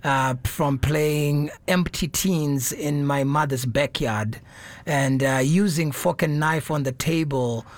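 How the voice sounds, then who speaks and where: A man's voice: on the high side, slow pace, no echo. Zimbabwean man in a dry, close recording. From Zimbabwe, grew up in Harare. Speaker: interview guest, musician and marimba teacher. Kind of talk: casual radio interview answers. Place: radio studio.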